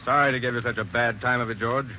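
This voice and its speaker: A no-nonsense police sergeant who barks clipped orders in a sharp, forceful tone. The sound is muffled and dull, like an old 1940s radio broadcast with a faint hiss behind it. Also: male voice, medium pitch, fairly even intonation, fast talker. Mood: firm. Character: brisk, commanding police sergeant detective.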